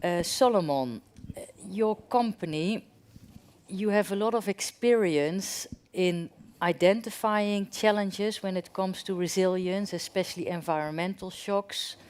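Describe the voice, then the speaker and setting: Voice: medium pitch, unhurried, darkish tone, a little hiss or hum. A moderator introducing a panelist and asking questions on a conference panel, talking conversationally with some "uh" fillers.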